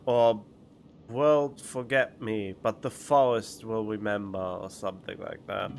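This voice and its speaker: A man giving casual live game commentary as a streamer, skeptical and halting, on a studio microphone. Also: fairly low pitch, steady tone, slight background noise. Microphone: studio condenser microphone.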